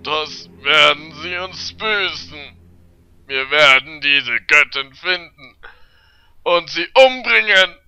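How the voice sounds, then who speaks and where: A man's voice: on the high side, lively intonation, slow pace, pushed and bright, clear, resonant tone, no room sound, faint music behind, full character voice. A man playing a resolute, commanding male warrior adventurer in an audio drama, speaking decisively. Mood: vengeful.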